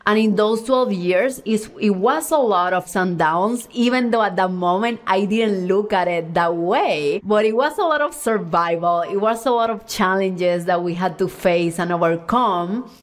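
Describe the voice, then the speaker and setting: Earnest Cuban-born woman with Puerto Rican accent. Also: mid-range voice. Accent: Puerto Rican Spanish-accented English. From Cuba. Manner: earnest, heartfelt, unhurried storytelling. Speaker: podcast host and coach. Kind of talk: reflective solo podcast monologue.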